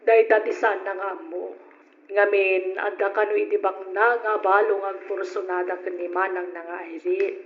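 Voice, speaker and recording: A woman's voice: mid-range voice, fairly even intonation, normal speed, close to an everyday voice. Woman playing a middle-aged radio-drama mother. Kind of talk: 1940s-style radio drama acting. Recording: echoey, dull old radio recording.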